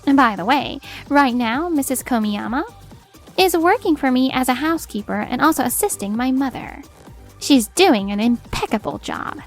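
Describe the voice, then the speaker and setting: A woman playing a composed, steely attorney in a manga dub, speaking formally and with measured assertiveness. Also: high-pitched, animated, soft background music. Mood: coolly cutting. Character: composed, steely female attorney confronting a husband. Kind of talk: acted manga dub dialogue.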